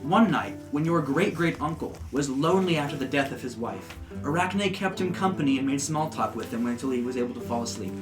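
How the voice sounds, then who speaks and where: A man running a tabletop game as game master, narrating in a relaxed, rambling way. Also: medium pitch, brisk. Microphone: desk USB microphone. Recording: roomy with background music.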